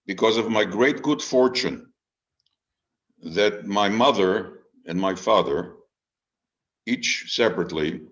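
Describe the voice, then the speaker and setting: A man in his eighties from Israel, a retired rabbi, speaking informally and deliberately to an online group, with storytelling pauses and repeated phrases. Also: mid-range voice. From Israel, born in Haifa under British Mandate Palestine. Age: 80s. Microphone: video call microphone. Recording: dull, lo-fi video call audio.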